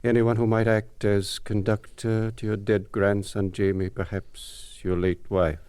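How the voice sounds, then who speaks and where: An actor in a 1940s radio mystery playing a solemn, commanding fake spirit medium with a portentous manner. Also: male voice, lowish voice, little pitch movement, unhurried, a little mellow, clean tone, no room sound, lightly acted.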